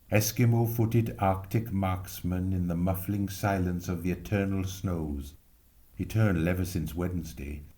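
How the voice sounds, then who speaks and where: A man reading a literary story aloud with expressive narration. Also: sits low. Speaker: reader.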